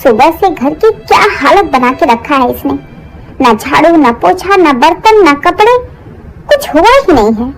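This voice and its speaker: Aggrieved cartoon mother-in-law, played by a woman: older, self-pitying, melodramatic complaints, high and very animated. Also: unhurried, full character voice, low-quality recording. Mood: angry scolding. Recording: music under the voice.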